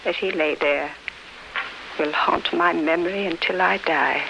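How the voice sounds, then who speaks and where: An actress playing a solemn, grieving friend, grave and formal, in 1930s radio drama style. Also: female voice, lowish voice, measured pace, creaky. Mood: mournful. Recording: noisy, scratchy 1939 radio recording.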